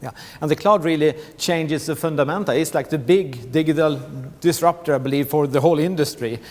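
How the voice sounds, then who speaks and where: A man's voice: on the high side, brisk, a little mellow. A middle-aged man with a Swedish accent gives a conference presentation, restarting phrases and repeating words, often saying "you know". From Sweden. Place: large conference hall. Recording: long hall reverb.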